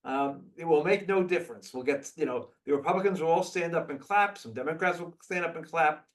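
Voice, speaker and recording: A man hosts a live question session over a video call, speaking bluntly and trading opinions with frequent restarts. His voice sits a little high for a man. The call audio makes him sound somewhat distant from the microphone. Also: brisk. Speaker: host of a video call briefing.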